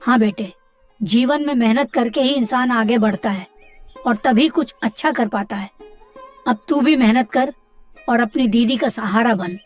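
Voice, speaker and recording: A caring village uncle from a cartoon story, acted by a woman talks in a fairly high voice. He advises like a father and moralises gently, over soft background music. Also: unhurried, natural voice. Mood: advising. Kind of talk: dramatised cartoon story dialogue.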